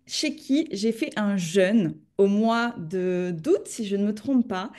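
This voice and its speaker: A woman's voice: medium pitch, expressive, takes it slowly, a little mellow. A woman hosting a wellness podcast interview, chatty and enthusiastic in her questions. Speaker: podcast host.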